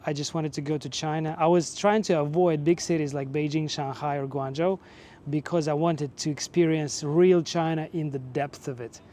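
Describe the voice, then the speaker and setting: A man in his forties from Russia, speaking English, telling his own story in a relaxed, measured way with fillers. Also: on the high side, slight background noise. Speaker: vlogger and teacher. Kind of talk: casual personal storytelling.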